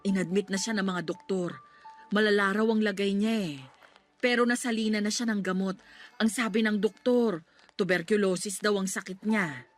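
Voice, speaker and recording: A woman's voice: medium pitch, steady tone, moderate pace, soft background music, close to an everyday voice. A woman playing a pleading, practical and bossy loyal friend in a radio drama. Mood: concerned. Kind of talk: Tagalog radio drama acting.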